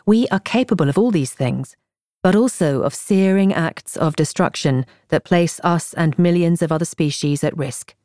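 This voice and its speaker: A woman reading a nonfiction audiobook in a measured, articulate way, as a scripted narrator. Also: deep voice, darkish tone, dry recording, studio quality.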